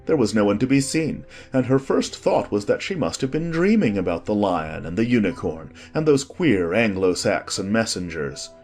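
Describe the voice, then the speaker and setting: A man with a husky voice reading a scripted story as an expressive audiobook narrator. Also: fairly low pitch, normal speed, few pauses. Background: faint music.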